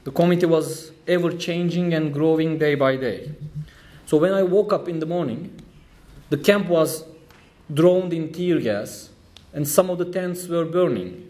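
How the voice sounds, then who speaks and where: A man from Turkey with a Turkish accent, giving a speech at an event, telling a story with light humor and many pauses. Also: on the high side, dark tone. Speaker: trade union official giving a talk.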